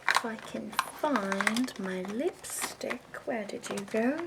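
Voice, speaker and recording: A woman voicing a prim, chipper escort who does your makeup, speaking softly in a half-whisper with fussy, doting praise. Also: fairly high pitch, gravelly, heavy background noise. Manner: soft-spoken, half-whispered and slow. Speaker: ASMR roleplay performer. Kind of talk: soft-spoken ASMR roleplay.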